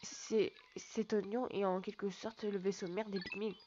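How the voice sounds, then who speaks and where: A young player reading a video game's ship computer lines aloud in their own voice, with plain, even delivery. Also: medium pitch, measured pace, muffled recording, soft background music, close to an everyday voice. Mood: informative. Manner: reads on-screen game text aloud plainly. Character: ship's computer voice from a video game.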